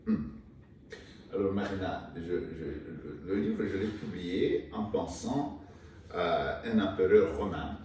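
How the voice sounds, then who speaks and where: A man from Rwanda, an author being interviewed, talking haltingly with many restarts and fillers. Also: deep voice, dark tone. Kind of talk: hesitant interview talk. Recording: echoey room, mic far off, faint noise.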